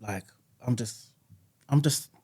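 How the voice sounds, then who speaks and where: A man's voice: mid-range voice, takes it slowly, crowd noise. A man talking earnestly and reflectively as a podcast guest, often repeating words as he thinks. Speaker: music artist guest. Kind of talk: casual podcast conversation. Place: podcast studio.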